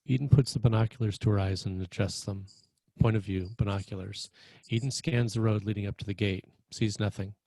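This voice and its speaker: A man's voice: lowish voice, fairly even intonation, brisk, gravelly, dark tone, dry recording, poor audio. A man reading screenplay stage directions as narrator, in a plain, descriptive manner.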